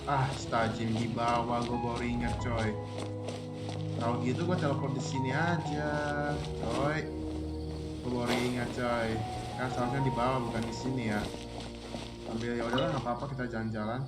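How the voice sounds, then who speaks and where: A man's voice: mid-range voice, little pitch movement, a little fast. A man with an Indonesian accent giving casual, slangy game commentary, half talking to himself. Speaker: live-streaming gamer. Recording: echoey, under very loud game music.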